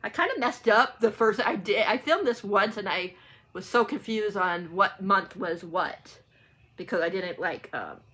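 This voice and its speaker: A woman's voice: medium pitch, expressive, a little slow, a bit bright, slightly rough. A woman talking casually to viewers in a rambling, informal book vlog, often getting sidetracked. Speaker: book channel vlogger.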